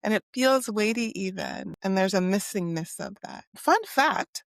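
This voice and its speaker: A woman whose first language was Haitian Creole, a psychologist speaking in a thoughtful, measured way. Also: mid-range voice, lively intonation, no room sound. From United States (New York and Miami). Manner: thoughtful, measured, with soft fillers. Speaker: guest, clinical and community psychologist. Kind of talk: reflective conversational interview.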